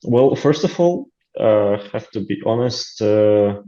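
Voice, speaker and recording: A man's voice: fairly low pitch, animated, slow pace, warm tone, muffled recording. A man with a Latvian accent and Russian as his first language, talking as an interview guest, hesitant with many fillers and restarts. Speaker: interview guest, aid volunteer. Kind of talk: casual interview talk.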